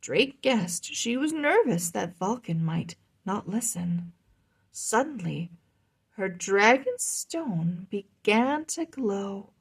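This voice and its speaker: Female storybook reader: husky edge, unhurried pace, expressive delivery for young listeners. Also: medium pitch. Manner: expressive, deliberate. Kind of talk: children's read-aloud, scripted narration.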